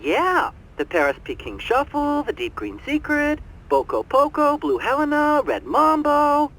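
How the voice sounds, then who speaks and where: A man's voice: extremely high pitch, sing-song, a little slow, fairly bright tone, heavily acted, low-quality recording. A man playing an obliging hotel barman in a video game, chatty and folksy with a theatrical delivery. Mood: showy, obliging.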